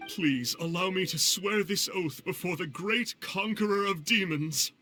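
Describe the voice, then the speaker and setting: A man's voice: high voice, unhurried, sharp tone. A man playing a pompous, easily cowed fake immortal exorcist in a video game, with theatrical, stilted, archaic speech. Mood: grateful, earnest. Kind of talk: video game character acting. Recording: background music.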